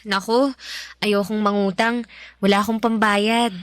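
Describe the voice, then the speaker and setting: A woman's voice: mid-range voice, flat delivery, a little slow, clean tone, no echo, clean studio sound, lightly acted. A young Filipino woman playing a humble, self-doubting nineteen-year-old housemaid in a radio drama, hesitant and deferential. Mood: flustered, hesitant. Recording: music behind.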